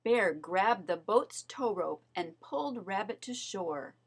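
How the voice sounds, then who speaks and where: A woman reading a children's story aloud as narrator, in an expressive, measured storytelling manner. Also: mid-range voice. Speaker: storybook reader.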